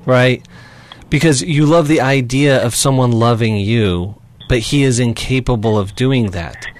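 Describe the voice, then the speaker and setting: A man's voice: mid-range voice, unhurried, dry recording. A man hosting a call-in advice radio show, talking directly and challenging callers.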